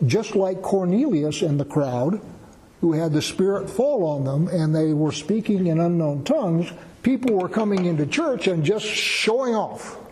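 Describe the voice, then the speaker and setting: A man's voice: sits high, expressive, unhurried, a little mellow, in a large hall, slight background noise, poor audio. A man giving a conversational verse-by-verse Bible teaching, explaining with everyday examples.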